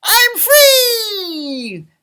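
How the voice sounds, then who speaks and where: A man voicing a small, sneaky, gleeful leprechaun in drawn-out singsong rhyme for a children's storybook. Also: very high voice, big pitch swings, sharp tone, pitched above the performer's own voice, full character voice. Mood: gleeful, mischievous.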